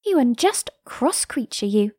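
A woman's voice: a little high, big pitch swings, unhurried, warm tone, dry recording, clean studio sound. A woman playing a coquettish young lady in a classic novel reading, playful, arch and sweetly mocking. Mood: teasing.

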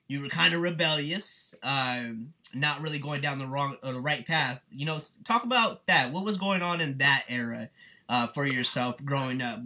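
A man's voice: medium pitch, sharp tone. A man hosting a podcast interview, asking questions in a friendly way with stumbling restarts. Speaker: podcast host. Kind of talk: casual interview.

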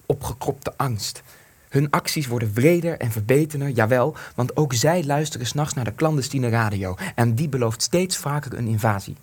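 An actor playing a steadfast, hopeful wartime resistance man in a Dutch radio play, measured and earnest. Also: mid-range voice, husky.

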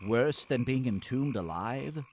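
A man acts out a gloomy young boy poet in a comedic radio play. His wording is prim and deadpan, yet the pitch moves animatedly. Some noise sits behind the voice. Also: unhurried. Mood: dry, skeptical. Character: morose, wry young boy poet, dryly reasonable.

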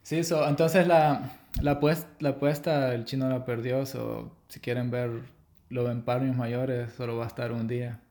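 A man with an ordinary mid-range voice hosts a relaxed conversation with a friend. He steers it with questions and keeps up a light, teasing banter. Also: medium pitch, moderate pace. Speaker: podcast host. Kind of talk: casual chat.

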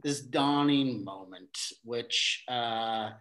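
A man being interviewed about his theater career, talking in a halting way with many restarts and repeated words. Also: medium pitch, a bit bright. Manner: acts out quoted exchanges in a livelier voice. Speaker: theater director, podcast guest. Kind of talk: casual interview conversation.

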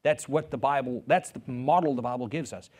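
A man preaches a sermon in English, earnest and direct. He leans on repetition, saying key phrases twice to press his point home. His voice sits at an ordinary middle pitch for a man. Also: brisk, darkish tone. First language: Afrikaans. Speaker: pastor.

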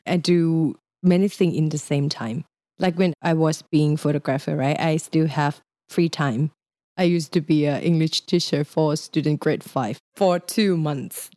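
A woman's voice: low voice, dark tone, no echo, studio quality. A young Thai woman with a Thai accent, speaking English as a second language in a casual, chatty interview and laughing often. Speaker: guest.

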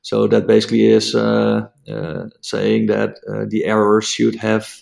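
A man with a Dutch accent, a native Dutch speaker, explaining a technical topic as an interview guest, halting with many fillers and restarts. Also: fairly low pitch, flat delivery, vocal fry, darkish tone. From Netherlands.

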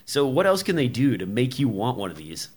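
A man's voice: medium pitch, big pitch swings, fairly quick, husky. A man hosting a casual tech podcast, talking loosely with lots of fillers. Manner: rambling, many fillers, laughs easily. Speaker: podcast host.

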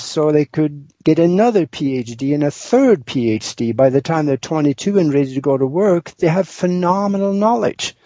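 A man's voice: medium pitch, mellow, dry recording. An older man from Norway with a Norwegian accent, telling his life story as an interview guest, rambling with many ums and uhs. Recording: dull lo-fi sound.

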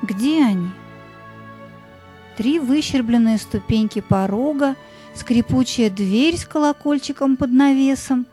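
A woman narrating a scripted fairy tale in a hushed, wondering storytelling manner. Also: high voice, measured pace, faint music behind.

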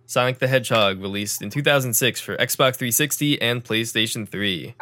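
Bright-voiced young man hosting a podcast. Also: medium pitch, moderate pace, slight background noise. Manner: energetic, emphatic, jokey sarcasm. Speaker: podcast host. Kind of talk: casual podcast chat.

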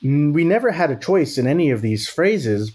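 A man's voice: fairly high pitch, very animated, moderate pace, darkish tone, slightly rough. A man from Texas in casual conversation, talking thoughtfully and checking in with "right?" as he goes. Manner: thoughtful, frequent restarts and "right?".

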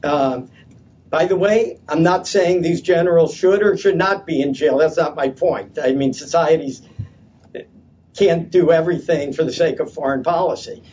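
Hesitant, loud man at a distant mic. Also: fairly high pitch, moderate pace. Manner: hesitant, many fillers and restarts. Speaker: panel speaker at a policy conference. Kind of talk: unscripted conference talk. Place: conference session room. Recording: distant, dull lo-fi conference audio.

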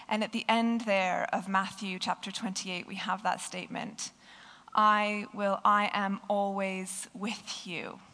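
A woman with a croaky, hoarse voice giving a conversational sermon and reading a children's story aloud. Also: mid-range voice, fairly bright tone, in a large hall. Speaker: preacher.

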